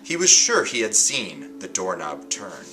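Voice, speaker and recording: Deep-voiced man reading stories with animated expression. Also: over music. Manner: dramatic, deliberate phrasing with emphatic pauses. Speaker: host reading a picture book aloud and setting a writing challenge. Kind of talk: expressive storybook read-aloud for children.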